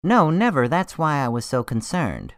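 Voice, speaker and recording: A man's voice: fairly high pitch, very animated, normal speed, a bit bright, no room sound, studio quality. An actor playing an earnest, anxious male medical examiner in a radio drama, explaining things expressively. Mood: worried, explaining.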